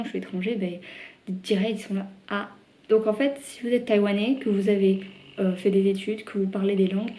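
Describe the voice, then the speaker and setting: A woman's voice: medium pitch, warm tone, slight background noise. A young French woman chatting to camera in a vlog, talking freely with lots of fillers and restarts. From France. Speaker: vlogger. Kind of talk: casual chat to camera.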